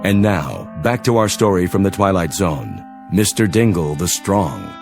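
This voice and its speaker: A man's voice: sits low, lively intonation, a little slow, over music. A man acting as a formal announcer introducing a radio drama in a scripted broadcast style.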